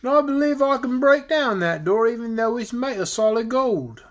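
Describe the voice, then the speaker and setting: A man voicing a huge, boastful dragon in a storybook, gruff and commanding in manner. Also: extremely high pitch, lively intonation, normal speed, pitched above the performer's own voice. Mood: confident. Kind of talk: storybook character voice in narrated children's fantasy.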